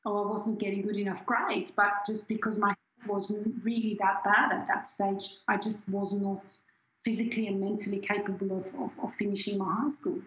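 A woman's voice: mid-range voice, little pitch movement, normal speed, a bit bright, roomy sound, lo-fi sound. A woman in her forties from Switzerland, reflectively telling her story as an interview guest with many restarts. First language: German. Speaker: scientist guest. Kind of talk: candid personal interview.

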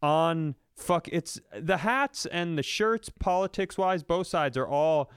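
Blunt American man with a clean, smooth voice. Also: fairly high pitch, takes it slowly, no room sound, studio quality. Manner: profane, blunt, mocking. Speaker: comedy podcast co-host. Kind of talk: casual comedic chat and ranting.

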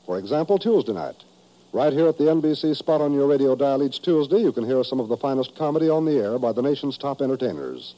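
American radio announcer, very dark muffled tone. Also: male voice, medium pitch, sing-song, fast talker. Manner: polished, promotional. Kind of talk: 1950s radio announcing, scripted promo.

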